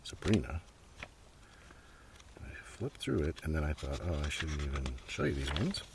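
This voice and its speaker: A man's voice: rumbling low, lively intonation, normal speed. A man giving a casual, rambling ASMR show-and-tell outdoors, half murmuring and drifting into whispers. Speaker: host of a collection show-and-tell. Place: outdoors in a gentle breeze. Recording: outdoor noise, light breeze. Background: breeze, paper rustling and page flipping.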